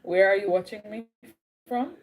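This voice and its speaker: A woman's voice: medium pitch, moderate pace, darkish tone, a little hiss or hum. Woman, Jamaican accent, young adult, casual livestream chat, greets viewers. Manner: chatty, greets viewers, drifts between Caribbean accents. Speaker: livestream host.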